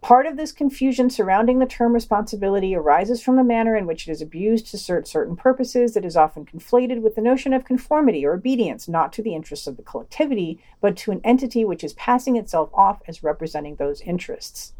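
A woman's voice: medium pitch, lively intonation, fast talker, few pauses, dark tone. A woman hosting a podcast, reading scripted commentary and quotations with wry, dry asides. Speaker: podcast host.

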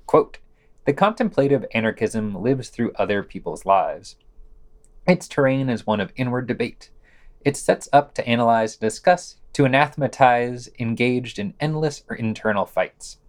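A man's voice: mid-range voice, lively intonation, normal speed. A man reads a scripted news digest as a podcast co-host, with sarcastic commentary and theatrical emphasis.

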